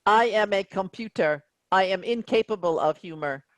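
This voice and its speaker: A man voicing a cold, officious automated ship captain in formal, measured, humorless announcements. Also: sits high, a bit bright, no echo, voice pushed up. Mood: cold, stern. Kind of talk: virtual staged reading of a sci-fi play.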